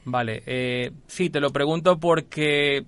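A man's voice: fairly high pitch, slow, a bit bright, clear, resonant tone, no room sound. A man playing a polite, insistent hotel administrator on a prank call, officious and apologetic as he repeats himself. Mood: politely insistent. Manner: officious, apologetic, repetitive, hesitant phrasing. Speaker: radio prank caller. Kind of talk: prank phone call, improvised role-play.

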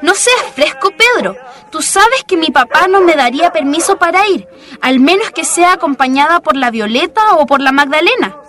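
A woman's voice: high voice, expressive, moderate pace, fairly bright tone. A woman acting as a feisty, exasperated Chilean schoolgirl in a radio drama, scolding and sassy. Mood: annoyed, indignant.

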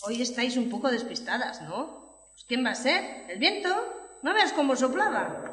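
A woman playing a knowing, gently teasing young schoolchild in a scripted audio story for children. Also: on the high side, lively intonation, normal speed. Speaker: preschool teacher. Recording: echoey reverberant room.

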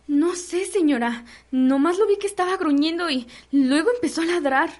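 A woman acting an excitable, superstitious housemaid in a radio soap opera, deferential and dramatic, with a Mexican accent. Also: extremely high pitch, moderate pace. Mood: uneasy.

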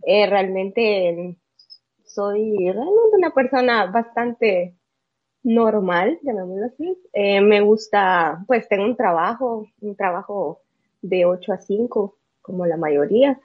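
Slow, halting Salvadoran woman in her thirties. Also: medium pitch, lively intonation, breathy edge, phone-quality sound. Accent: Salvadoran Spanish. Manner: halting, many hesitations and trailing phrases. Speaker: podcast guest, amateur bodybuilder. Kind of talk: casual interview answers.

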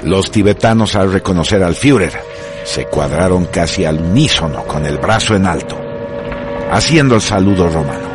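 A male narrator with a low voice delivers scripted radio drama narration over loud music. His manner is solemn and measured, with a literary, dramatic weight. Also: lively intonation.

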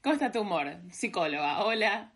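A woman's voice: mid-range voice, very animated, brisk, husky. A woman in her twenties with a Rioplatense Argentine accent, chatting as a podcast co-host in a wry, self-deprecating, playful way. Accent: Rioplatense Spanish. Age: 20s. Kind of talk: casual chat between friends.

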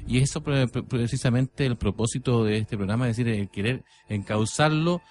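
A man's voice: mid-range voice, moderate pace, a little mellow. A man co-hosting a radio show, talking in a wordy, somewhat formal way with little tag questions. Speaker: radio co-host. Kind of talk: casual radio commentary. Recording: music under the talk.

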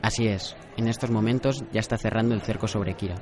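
A man's voice: lowish voice, steady tone, fast, close to an everyday voice. A man playing a discreet, courteous representative of a secretive detective in an anime radio drama, formal and polite. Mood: composed, polite. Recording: busy crowd murmur behind.

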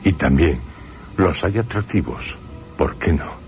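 A man hosting a radio drama program, speaking in a measured, theatrical way with long pauses. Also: very deep voice, lively intonation, a little mellow, faint music behind.

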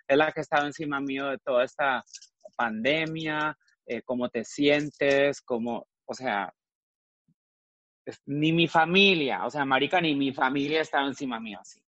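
A man's voice: medium pitch, normal speed, fairly bright tone, no room sound, highs cut off. A man in his forties from Costa Rica, a guest frankly telling his personal story, often trailing off and restarting. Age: 40s.

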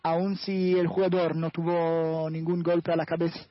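A man's voice: a little high, flat delivery, normal speed, no echo. A man whose first language is Italian, analysing football on a radio show in Spanish, hesitating often and searching for words. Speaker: guest football commentator. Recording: dull, lo-fi radio sound.

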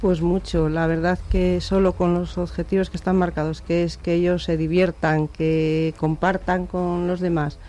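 A woman's voice: fairly low pitch, level tone, normal speed, hardly any pauses, dark tone. A woman, a city councillor speaking as a guest in a radio interview, explaining steadily. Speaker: city councillor for family and social services.

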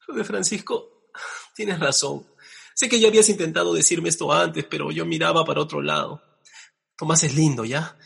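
A man's voice: on the high side, normal speed, vocal fry, a bit bright, slightly rough, full character voice. An actor playing an anxious, melodramatic man grieving his lost cat, in a radio comedy, whiny and theatrical. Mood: contrite.

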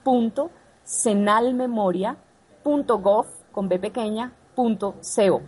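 A woman's voice: a little high, slow pace, a little mellow, slightly rough, low-quality recording. A woman with a Colombian accent, speaking as a radio guest. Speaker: radio guest from a partner archive.

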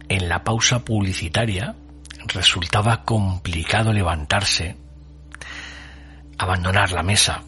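A man hosting a radio show with scripted, atmospheric narration, solemn and deliberately phrased with dramatic pauses. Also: low voice, fairly bright tone, slight background noise.

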